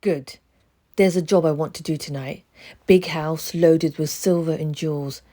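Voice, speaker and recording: A woman voicing a brutal male burglar who snarls loud threats. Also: sits low, fairly even intonation, unhurried. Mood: menacing.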